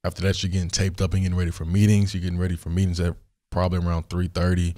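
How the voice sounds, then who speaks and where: A young man with a hoarse, worn voice, hosting a solo question-and-answer podcast in a studio, talking in a relaxed, rambling way with many fillers. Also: sits low, very fast. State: hoarse, recovering voice. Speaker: podcast host.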